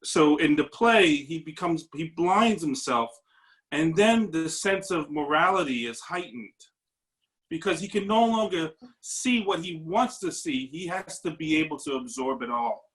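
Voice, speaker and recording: A man's voice: a little high, expressive, measured pace, fairly bright tone, rough recording. A man, a paramedic, sharing an earnest, searching reflection in a discussion over a video call. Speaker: paramedic audience member.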